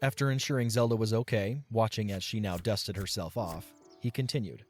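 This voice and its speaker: A man with a fairly low voice narrates a story. He reads scripted prose as the narrator of an audio drama, with faint music underneath. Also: brisk.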